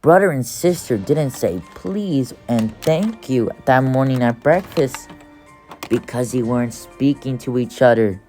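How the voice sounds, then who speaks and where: A woman with a low voice reads a children's storybook at an unhurried, slow pace. She narrates as an audiobook reader, and music plays behind her. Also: dark tone.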